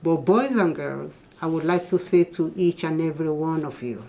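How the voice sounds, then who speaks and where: A woman's voice: low voice, moderate pace, mellow, low-quality recording. A woman reading a children's picture book aloud in a teacherly manner, explaining things to young listeners. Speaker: guest storybook reader.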